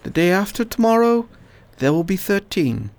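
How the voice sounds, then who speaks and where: Woman as tired dutiful gentleman, terse, grave, widely varying pitch, radio drama. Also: very animated, normal speed, dry recording. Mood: resigned. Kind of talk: radio play acting.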